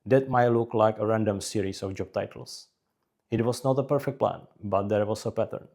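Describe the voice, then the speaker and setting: Low, mellow Czech-accented man. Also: normal speed. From Czech Republic. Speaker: career advice video creator. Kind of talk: scripted narration, career advice.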